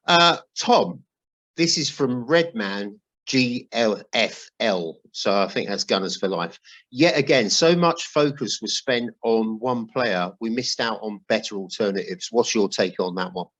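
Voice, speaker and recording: A man's voice: lowish voice, expressive, a little slow, a bit bright, dull audio. A man hosting a football podcast in a chatty way with wry asides, reading out notes and emails. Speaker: podcast host.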